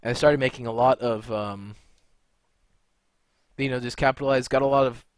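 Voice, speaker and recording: A man's voice: medium pitch, fairly even intonation, normal speed, slight background noise. A young man from California talking in a rambling, blunt monologue, full of fillers and restarts. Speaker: video creator and gamer. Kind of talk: unscripted monologue to camera.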